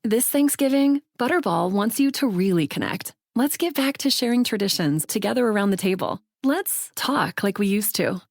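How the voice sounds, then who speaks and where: A woman announcer reads a scripted commercial. Her medium-pitched voice has a clear creak to it. The sound is dry and close. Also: animated, moderate pace, few pauses, dry recording. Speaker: advert announcer.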